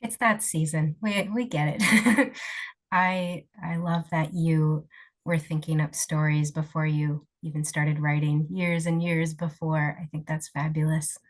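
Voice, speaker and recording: Lowish woman's voice, librarian host on a video call, friendly questions. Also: steady tone, a little slow. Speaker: webinar moderator, librarian.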